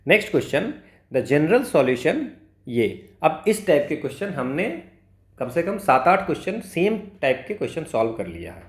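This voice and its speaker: An echoey room recording of a man with an Indian accent and a slightly higher-pitched voice. He teaches like an exam tutor, explaining informally one step at a time. He switches between two languages and often adds small checks that the learner is following. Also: sing-song, moderate pace. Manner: informal, step-by-step, checks understanding with frequent tags. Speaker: maths teacher for exam preparation. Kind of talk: explanatory lesson mixing Hindi and English.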